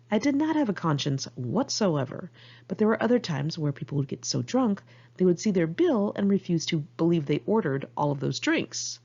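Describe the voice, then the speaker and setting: American woman, informal memoir narration, lively swooping intonation, fry at the edges, candid, wry, dull homemade recording. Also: on the low side. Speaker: author reading own memoir.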